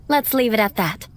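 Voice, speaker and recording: An actress playing a proud, composed young aristocratic woman in a video game, speaking in formal, measured phrases. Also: fairly high pitch, a bit bright. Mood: haughty. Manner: poised, formal, measured diction. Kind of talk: scripted video game dialogue.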